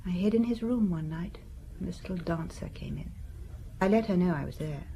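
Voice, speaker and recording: A woman's voice: fairly low pitch, animated, fairly quick, dark tone, a little hiss or hum. An actress playing a frank, bitter married woman in an audio drama, speaking bluntly and tersely. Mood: bitter confession. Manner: blunt, terse, matter-of-fact. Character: frank, bitter, world-weary married woman confessing secrets. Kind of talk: radio drama dialogue.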